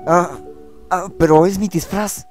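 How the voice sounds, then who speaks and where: A man's voice: high voice, fairly even intonation, a little slow. Guy doing a hesitant awkward teen boy, manga fandub, music behind him. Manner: hesitant, introspective. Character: brooding, awkward, guilt-ridden teenage boy. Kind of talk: fan dub of a manga.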